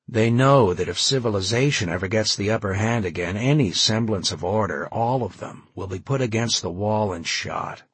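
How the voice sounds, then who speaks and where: A man's voice: lowish voice, normal speed, few pauses, breathy edge, no room sound. A man voicing a grim, cynical male leader in an audiobook, speaking with cold, bitter force. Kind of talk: dramatized audiobook dialogue.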